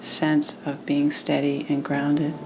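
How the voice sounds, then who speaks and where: A woman meditation teacher leading a guided meditation in a soothing manner, with gentle pauses between phrases. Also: sits low, monotone, a little hiss or hum, rough recording.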